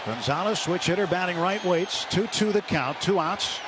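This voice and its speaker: A man calling baseball play-by-play on the radio, building excitement on big pitches. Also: moderate pace. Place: ballpark broadcast booth. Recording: radio broadcast over loud crowd noise.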